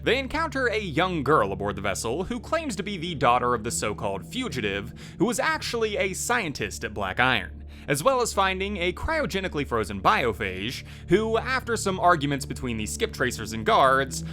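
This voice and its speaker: A man's voice: a little high, wildly swooping pitch, normal speed, few pauses. A man giving scripted narration as a video host. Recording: music playing behind the voice. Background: loud music.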